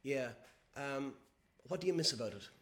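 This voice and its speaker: A man with a medium-pitched voice hosts a conversational interview. He feels his way into questions, doubling up on words as he goes. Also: lively intonation, normal speed, fairly bright tone. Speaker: podcast host, interviewer.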